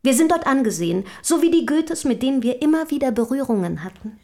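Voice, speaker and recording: Eager self-assured young rich lady in a radio play, highish voice, formal and articulate. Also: expressive, quick pace, darkish tone. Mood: chatty, proud.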